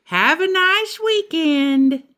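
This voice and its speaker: A woman voicing a kind, gentle woman schoolteacher from a picture book, speaking slowly and encouragingly. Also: very high-pitched, animated, voice pushed up, full character voice. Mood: gentle, caring. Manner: gentle, slow, encouraging, teacherly.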